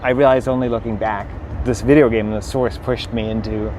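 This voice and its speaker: A man's voice: on the low side, fairly even intonation, a little fast. A Danish-born man with an American accent, chatting as a podcast guest and telling stories with many fillers. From Denmark. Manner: eager, rambling storyteller with many fillers. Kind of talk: casual conversation. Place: outdoors at a busy waterfront pier. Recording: outdoor recording with loud traffic noise. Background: city traffic.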